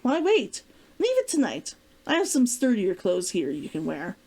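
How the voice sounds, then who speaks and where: Woman reading as a mellow, concerned, protective man. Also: mid-range voice, wildly swooping pitch, moderate pace, slightly rough, heavily acted. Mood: insistent. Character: concerned, teasing adult man, protective old flame. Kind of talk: storybook character dialogue read aloud.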